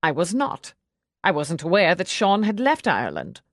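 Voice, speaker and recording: A woman's voice: lowish voice, wildly swooping pitch, normal speed, no room sound, clean studio sound, full character voice. A woman voicing a stiff, proud, cold male servant in an audiobook, formal, clipped and bitterly protective. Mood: cold, guarded. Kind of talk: audiobook character dialogue.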